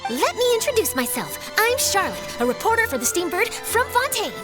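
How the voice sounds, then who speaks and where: A woman's voice: extremely high pitch, sing-song, normal speed. A woman voicing a perky, keen young news reporter in a video game, chirpy and theatrical. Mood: cheerful, proud. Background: music.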